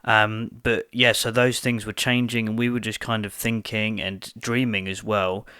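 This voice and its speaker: A young man co-hosting a casual podcast chat at home, thoughtful and hesitant, slightly croaky from a cold. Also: lowish voice, level tone, normal speed, bright, forceful tone, dry recording, clean studio sound. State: hoarse from a cold. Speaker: podcast co-host. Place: small music room at home.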